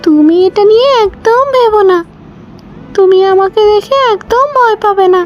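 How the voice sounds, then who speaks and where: A woman's voice: extremely high pitch, moderate pace, muffled recording, soft background music. A woman voicing a big-hearted, reassuring fairy in a children's cartoon. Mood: kindly reassuring.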